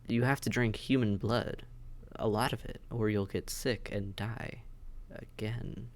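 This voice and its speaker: A man's voice: fairly low pitch, steady tone, a little slow. A man playing a weary vampire intake officer in an audio roleplay, matter-of-fact and bureaucratic, with dry hesitation, speaking straight to the listener. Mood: dry, resigned. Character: weary, businesslike male vampire intake officer mentoring newcomers. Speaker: medical examiner and caseworker.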